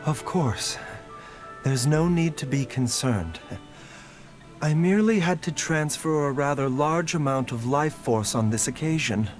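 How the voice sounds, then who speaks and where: A calm, scholarly young doctor from a video game, acted by a man. His voice sits a little high and he explains things in a measured, courteous, formal way. Soft music plays behind him. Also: lightly acted. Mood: calm, reassuring.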